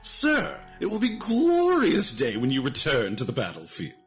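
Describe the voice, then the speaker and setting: A man's voice: mid-range voice, huge pitch swings, normal speed, soft background music, voice pushed up. A man voicing a fawning, eager puppeteer underling in a fantasy audio story. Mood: eager flattery.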